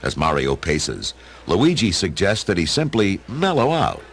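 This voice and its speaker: A man's voice: sits low, wildly swooping pitch, measured pace, heavily acted. A man narrating a radio play as a dramatic storyteller, with a folksy, wry manner.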